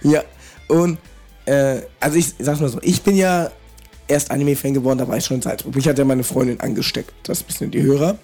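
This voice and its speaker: A man from northern Germany with a cold, hosting a relaxed, chatty podcast conversation and asking his guest questions. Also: medium pitch, brisk, faint music behind. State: has a cold. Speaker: podcast host. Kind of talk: casual podcast chat.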